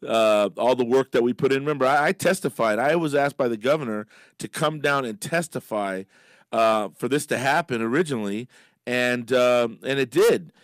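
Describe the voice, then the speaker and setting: Smooth-voiced middle-aged man, halting and emphatic. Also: medium pitch, dry recording. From Miami. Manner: halting, many fillers and restarts, emphatic. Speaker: radio guest, business leader. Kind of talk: casual radio interview. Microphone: studio microphone.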